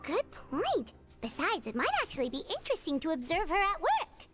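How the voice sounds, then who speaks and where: A woman voicing a tiny, blunt and nosy fairy companion in a video game, with a squeaky childlike voice. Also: wildly swooping pitch, a little fast, over loud music, full character voice. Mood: thoughtful. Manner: exclamatory, refers to herself in third person.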